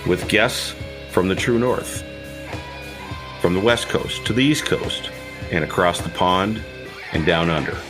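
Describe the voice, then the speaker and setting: Deep-voiced male podcast announcer over guitar. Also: a little slow, pauses often. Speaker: show announcer. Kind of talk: scripted podcast intro.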